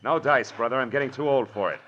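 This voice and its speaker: A man's voice: mid-range voice, fast talker, a bit bright, close to an everyday voice. A man playing a tough, wisecracking American private detective in a 1940s radio drama, clipped and sardonic. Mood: hard, challenging. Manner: clipped, sardonic, hard-boiled. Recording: dull old radio broadcast with music.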